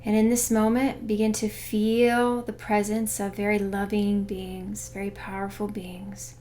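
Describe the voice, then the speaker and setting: A woman guiding a meditation in a soothing, unhurried way, hushed in places. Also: medium pitch, flat delivery, a little hiss or hum. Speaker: meditation guide. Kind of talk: guided meditation narration. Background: background music.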